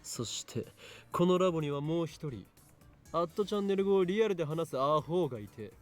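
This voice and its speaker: Actor as mocking young male eccentric, pompous lectures, very rapid delivery, highish voice, visual novel, music behind. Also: expressive, natural voice. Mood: accusing. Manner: pompous, declamatory. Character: eccentric, mocking young man, self-important lab leader. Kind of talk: Japanese visual novel voice acting.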